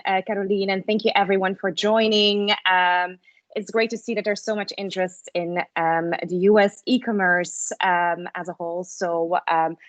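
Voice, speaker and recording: A woman giving an informal webinar presentation as guest speaker, halting often with ums. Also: medium pitch, dry recording. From Belgium. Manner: halting, frequent short pauses and ums. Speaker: guest speaker, company founder.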